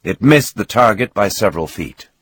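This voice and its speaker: A man giving a scripted, dramatic reading of a novel as narrator, expressive with swelling emphasis and a husky voice. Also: fairly low pitch, normal speed, no room sound.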